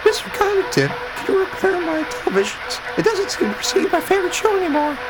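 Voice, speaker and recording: A man mockingly voicing a plaintive female repair-shop customer in a shrill falsetto for a radio play. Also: sing-song, fast. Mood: mocking complaint. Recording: noisy recording, vehicle-like ambience.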